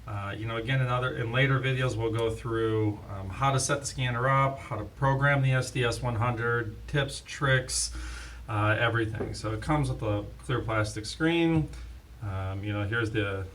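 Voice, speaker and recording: A man's voice: medium pitch, moderate pace, fairly bright tone. A man hosting a casual, unscripted product demonstration, talking like an in-house expert. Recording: distant mic, faint background noise.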